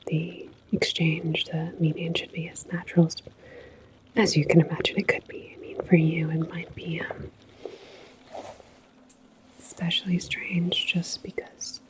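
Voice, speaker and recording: A woman whispering softly in an ASMR sci-fi roleplay as a calm, musing, reassuring station attendant. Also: sits low, rough recording. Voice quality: soft, breathy whisper. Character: calm, philosophical attendant at a futuristic portal station.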